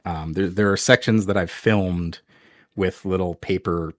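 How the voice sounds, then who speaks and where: A soft-spoken man chatting casually as an interview guest, conversational and a little self-deprecating about his creative work. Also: low voice, steady tone, unhurried, no room sound, clean studio sound.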